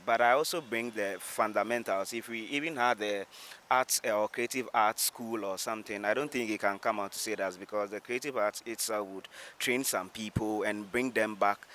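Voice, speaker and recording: Low-voiced Ghanaian man, noisy outdoor interview. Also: a bit bright. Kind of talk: earnest opinion in a street interview. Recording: noisy outdoor urban recording.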